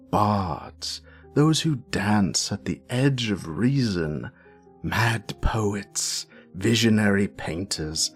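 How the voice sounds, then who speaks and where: Grumpy, sassy British wizard professor, played by a man: very low voice, slow theatrical delivery, savouring every word of the lore. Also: full character voice. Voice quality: gravelly, creaky. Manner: wry, theatrical, savouring words with dramatic pauses. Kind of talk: scripted in-character lore monologue.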